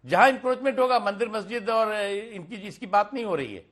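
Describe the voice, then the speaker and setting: A man giving off-the-cuff political remarks in a colloquial, halting way, often repeating words. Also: sits high, fairly bright tone.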